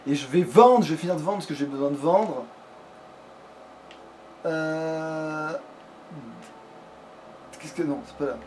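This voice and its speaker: Guy with a highish voice doing a let's play, swears and mumbles to himself, bit of background noise. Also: slow, long pauses, darkish tone. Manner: informal, sweary, muttering to himself while playing. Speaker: let's play host playing a fantasy video game. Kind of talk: casual gaming chat. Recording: clear background noise on a home recording.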